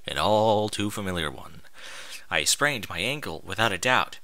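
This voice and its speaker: A man reading a first-person story aloud in an expressive, informal way, as if acting out the narrator's thoughts. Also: lowish voice, moderate pace, a little hiss or hum. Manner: expressive, informal, sweary interjections. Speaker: fan fiction reader.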